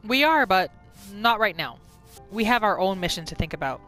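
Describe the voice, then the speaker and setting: A woman hosting a gameplay roleplay, narrating casually in the third person. Her voice is of medium pitch, with faint music behind it. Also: animated, moderate pace, fairly bright tone. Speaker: gaming host and roleplayer.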